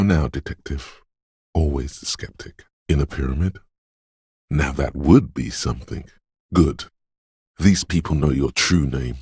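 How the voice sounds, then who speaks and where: A man voicing an eerie, mystical inner voice of intuition in a video game, gravelly, cryptic and ominous, speaking in theatrical second-person narration. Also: dry recording, clean studio sound, exaggerated voice. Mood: coaxing. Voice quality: very deep, gravelly rasp.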